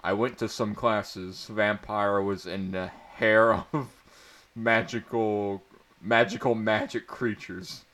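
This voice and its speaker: A man gives a comic reading of amateur fan fiction. His voice sits on the low side and his pace is slow. He is prone to giggling and tripping over the text. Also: steady tone, fairly bright tone. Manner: often breaking into giggles and stumbling over words. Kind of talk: comedic dramatic reading of bad fan fiction.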